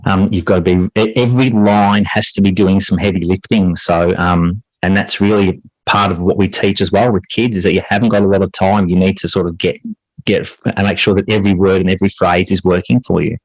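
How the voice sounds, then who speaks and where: A man's voice: low voice, steady tone, brisk, no echo, rough recording. A middle-aged man answering interview questions in a reflective, explanatory way, repeating phrases for emphasis. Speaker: interview guest, high school English teacher.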